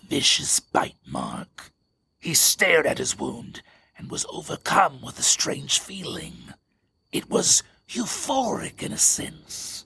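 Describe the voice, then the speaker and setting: A man's voice: on the high side, creaky, pushed and bright, husky, heavily acted. A man playing a ghoulish gravedigger who narrates scripted horror tales in a theatrical, macabre, mock-sentimental way. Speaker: horror story host and narrator. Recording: music behind the voice.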